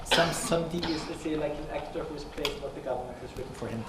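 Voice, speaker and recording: A man's voice: a little high, quick pace. A man from Austria giving an academic lecture as guest speaker, chatty and self-correcting with light humor. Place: large lecture hall. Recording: echoey hall from far off, noisy.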